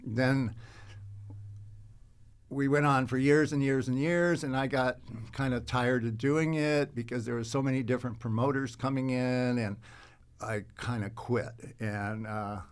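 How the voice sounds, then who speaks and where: Halting, rambling man telling anecdotes. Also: medium pitch, fairly even intonation, smooth. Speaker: podcast guest, actor and car show organizer. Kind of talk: casual chat, telling anecdotes.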